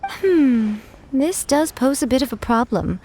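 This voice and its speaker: An actress playing a poised, sly shrine priestess in a video game, teasing and smoothly condescending. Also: female voice, high voice, animated, a little slow, mellow. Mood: nonchalant, sly.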